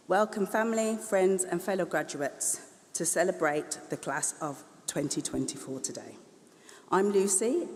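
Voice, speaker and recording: A mellow, mid-pitched voice delivers a formal ceremony speech in an earnest, measured way, now and then correcting a word mid-phrase. The sound spreads through a large hall with a long, trailing echo. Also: breathy edge. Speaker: sign language interpreter voicing a graduate's speech.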